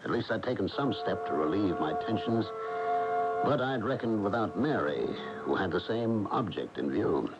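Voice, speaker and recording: An actor playing a defensive, high-strung middle-aged workaholic husband with an American accent in a radio drama. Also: male voice, lively intonation, normal speed, loud music behind the voice. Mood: tense narration. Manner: agitated, defensive, sardonic. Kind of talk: 1980 radio drama acting and narration. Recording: noisy dull AM radio broadcast tape.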